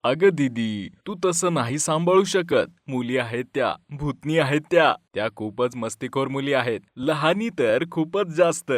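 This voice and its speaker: A man playing an exasperated farmer father in an animated family story, talking in a familiar way. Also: medium pitch, unhurried, hardly any pauses, no echo, clean studio sound, lightly acted. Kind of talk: animated moral story voice acting.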